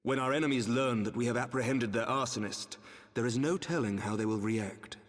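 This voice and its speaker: An actor playing a resolute, gravely courteous knight lord commander in a game, formal and measured in his delivery. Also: medium pitch, lightly acted. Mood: grave, controlled. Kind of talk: dramatic game cutscene acting. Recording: long hall reverb.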